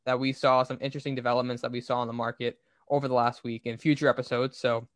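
A man's voice: mid-range voice, flat delivery, fast talker, dry recording. A man hosting a podcast, giving opinionated, explanatory business commentary in a conversational way. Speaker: podcast host.

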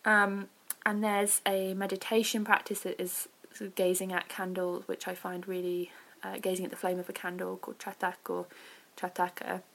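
A woman talks informally on her own as a podcast host, thinking aloud in a reflective way. Her pitch sits in a middle range and moves little. There is a noticeable creak in her voice. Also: monotone, moderate pace, fairly bright tone, low-quality recording. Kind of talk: informal solo chat.

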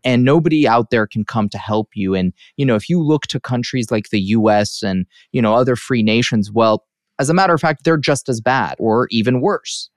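A man's voice: medium pitch, normal speed, dry recording, studio quality. A man hosting an interview, talking in an earnest, explanatory way and often checking in with his guest. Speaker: interviewer, host. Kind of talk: conversational interview commentary.

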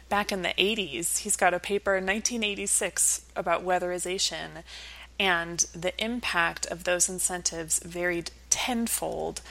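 A woman's voice: on the low side, pushed and bright, rough recording. A woman speaking as an academic guest in an interview, explaining things conversationally in a thoughtful, earnest way.

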